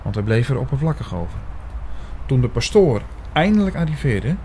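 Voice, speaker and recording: A man playing an earnest investigator who tells his own story in an audio drama, in a measured way. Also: medium pitch, very animated, dark tone, slight background noise, close to an everyday voice. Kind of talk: scripted audio drama narration.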